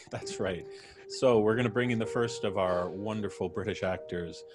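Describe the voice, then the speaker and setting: Low-voiced man hosting over music. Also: moderate pace. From Canada. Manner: jovial, playfully wry. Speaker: host. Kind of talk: casual live show introduction with banter.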